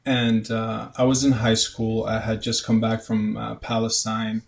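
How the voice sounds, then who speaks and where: A young man from Canada answering interview questions in a halting way, with many fillers and restarts. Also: fairly low pitch, completely flat delivery, vocal fry. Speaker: guest, medical student.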